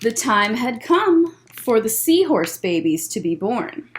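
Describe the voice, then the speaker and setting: A woman hosting story time, reading a picture book aloud to young children in an expressive, deliberate way with short asides. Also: fairly high pitch, a little hiss or hum.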